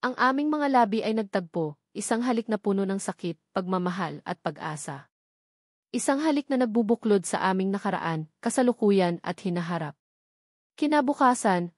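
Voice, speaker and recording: A woman giving a scripted, emotive narration of a dramatic story in a measured read-aloud manner. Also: medium pitch, no echo, clean studio sound.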